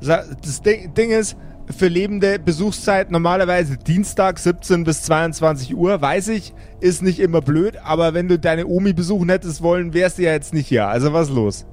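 A man's voice: sits high, moderate pace, a little mellow, soft background music, lightly acted. A man playing a chatty, irritable necromancer in improvised tabletop role-play, rambling and repeating himself in crude slang. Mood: chatty, brusque. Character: chatty, brash, irritable necromancer running a business.

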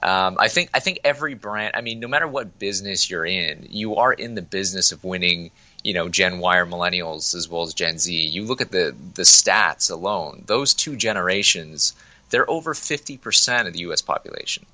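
A young man with a deep, forceful voice, recorded dry and close. He talks business in a brisk, chatty way, tripping over restarts as ideas pile up. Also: dry recording. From Toronto, Canada. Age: young adult. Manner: quick, chatty, many restarts. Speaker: marketing agency president. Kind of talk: casual interview talk. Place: remote interview, Toronto office.

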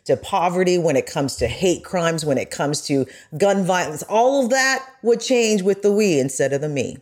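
A woman's voice: lowish voice, lively intonation, normal speed, darkish tone. A woman speaking as a podcast guest in an earnest, emphatic way. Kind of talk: podcast discussion.

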